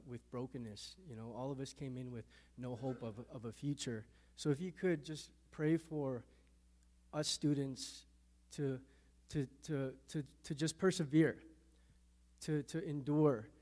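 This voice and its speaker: A man in his thirties from Canada gives his faith testimony at a middle pitch. He speaks haltingly, often repeating words, and his voice drops softer when he recalls painful memories. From Canada, born in a Thai refugee camp to Lao parents. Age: 30s. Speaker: interviewee giving a testimony. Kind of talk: earnest faith testimony interview.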